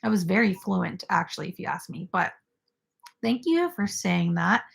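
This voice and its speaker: A woman's voice: lowish voice, normal speed, creaky. A young woman hosting a casual crochet live stream, chatting with viewers and filled with "like" and "um". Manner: chatty, filler-heavy, reads viewer comments aloud.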